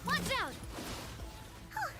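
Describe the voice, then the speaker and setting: A woman's voice: takes it slowly, natural voice. A woman voicing a tiny, chirpy fairy companion in a video game, childlike, eager and exclamatory. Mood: alarmed. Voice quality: childlike, squeaky. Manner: exclamatory, perky. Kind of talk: video game character acting. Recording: loud game music over the voice.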